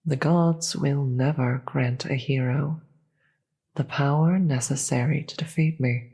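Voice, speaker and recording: A performer as a slow, husky, world-weary demon queen. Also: medium pitch, fairly even intonation, dark tone. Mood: condescending, amused. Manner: theatrical, formal, slow deliberate delivery. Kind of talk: scripted audio roleplay.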